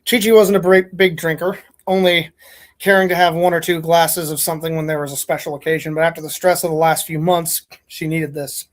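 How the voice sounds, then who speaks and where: A woman's voice: deep voice, level tone, a little fast. A woman hosting a casual podcast, chatting informally and a little hesitantly, and reading fan fiction aloud.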